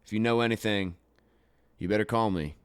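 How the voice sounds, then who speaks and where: An actor playing a weary, guarded rodeo rider, in halting, plain-spoken radio drama style. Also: male voice, on the low side, smooth, no echo, natural voice. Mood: apologetic, earnest. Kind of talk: naturalistic radio drama acting.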